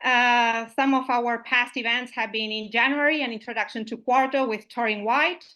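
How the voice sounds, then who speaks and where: A woman's voice: fairly high pitch, fairly even intonation, moderate pace, sharp tone. A woman from Uruguay, opening an online meetup as its host, friendly and full of "uh" and "I mean" fillers, over a video call microphone.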